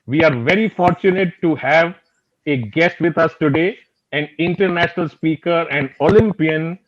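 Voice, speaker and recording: Higher-voiced Indian-accented man, slightly muffled. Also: normal speed. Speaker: show co-host introducing guests. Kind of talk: formal scripted introduction. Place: indoor room, on a video call. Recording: slightly dull video-call audio.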